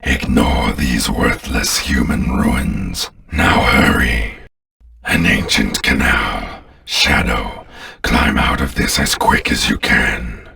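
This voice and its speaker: Rumbling, gravelly alien warlord. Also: male voice, slow pace, bright, forceful tone, distant mic, exaggerated voice. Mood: imperious, urging. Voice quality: deep, gravelly. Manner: commanding, contemptuous, grandiose. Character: menacing alien warlord commanding an invading army. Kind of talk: video game voice acting.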